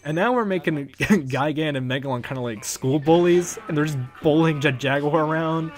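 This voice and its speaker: A man's voice: a little high, normal speed. A young man giving casual, rambling and enthusiastic behind-the-scenes commentary as the creator of a fan dub. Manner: rambling, enthusiastic, chuckles often. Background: music under the voice.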